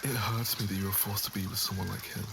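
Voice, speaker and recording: Man acting earnest, low-voiced lovesick nobleman. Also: steady tone, fast talker, creaky. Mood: protective, upset. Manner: earnest, formal phrasing. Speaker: voice actor in an audio roleplay. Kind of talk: ASMR roleplay acting. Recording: audible water and outdoor ambience noise.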